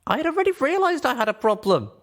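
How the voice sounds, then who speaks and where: A man's voice: very high-pitched, very animated, fast talker, fairly bright tone, voice pushed up, exaggerated voice. A man voicing a stubborn, angry young female pony in a dramatized audiobook, with a harsh, emphatic delivery. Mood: angry, bitter.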